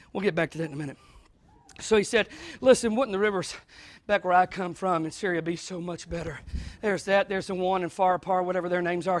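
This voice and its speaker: A man preaching a sermon in a small church, dropping to quiet talk and then rising into shouted preaching. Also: no room sound. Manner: swings from low conversational talk to shouted preaching. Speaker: pastor.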